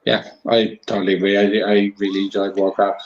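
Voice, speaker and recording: A man's voice: fairly low pitch, level tone, fairly quick, muffled recording, slight background noise. A man chatting casually as a guest panellist, giving blunt opinions in an informal way.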